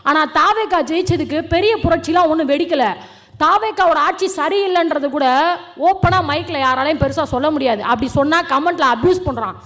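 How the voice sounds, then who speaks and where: A woman's voice: high voice, a little fast, fairly bright tone. A young woman with a Tamil Nadu accent giving a fiery, colloquial political stage speech, challenging and combative, throwing out rhetorical questions. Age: young adult. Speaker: political speaker.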